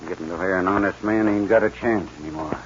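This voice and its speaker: An actor playing a worried, kindly, honest rancher with a folksy drawl in a 1940s radio western. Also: male voice, low voice, fast talker, natural voice. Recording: dull 1940s radio recording, faint noise.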